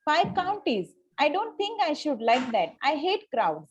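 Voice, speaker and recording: A haughty young society lady from a Victorian comedy, played by a woman at a table read. Her voice sits very high. She speaks formally and with cool politeness, tripping now and then on a line. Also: very animated, a little slow, dull audio, slight background noise. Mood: icily polite. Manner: formal, stumbles over lines. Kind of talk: amateur reading of a Victorian comedy play.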